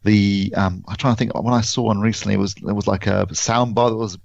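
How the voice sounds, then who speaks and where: British male podcast panelist, creaky voice, loose rambling chat, dry slightly dull sound. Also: on the low side, fairly even intonation, a little fast, a little mellow, dry recording. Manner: rambling, conversational. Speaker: podcast reviewer. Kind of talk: casual podcast discussion.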